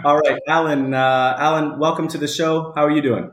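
A man's voice: a little high, steady tone, normal speed, slight background noise. Male online show host in a roomy space. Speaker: live chat show host. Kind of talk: casual live online talk show hosting. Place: indoors at home. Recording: roomy home echo.

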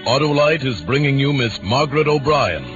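An American man announcing a vintage radio thriller with suspenseful, deliberate phrasing. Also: medium pitch, expressive. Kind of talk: 1940s dramatic radio announcing. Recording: dull old radio recording. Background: loud dramatic music.

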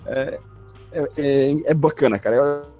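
A man's voice: fairly high pitch, a little slow, warm tone, faint music behind. A Brazilian man on a podcast, recommending a book in a hesitant way, stopping often to search for words. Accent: Brazilian Portuguese. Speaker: podcast co-host. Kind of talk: casual chat.

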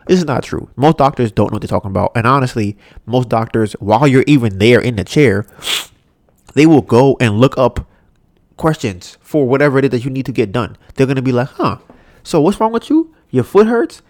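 A man's voice: a little fast, dry recording, clean studio sound. Congested man ranting casually. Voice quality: congested, nasal. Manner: animated, mocks others in high put-on voices, swears. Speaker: podcast host. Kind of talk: casual solo rant.

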